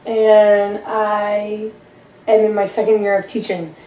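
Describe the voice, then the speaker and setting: A young woman in her early twenties talks about teaching math as a podcast guest, rambling and poking fun at herself. Her voice is mellow and soft-edged. She sits a little away from the mic in an echoey room. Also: medium pitch, little pitch movement, slow, recorded from a distance, a little hiss or hum. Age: 20s. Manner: laughs easily, self-deprecating, rambling. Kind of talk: casual chat.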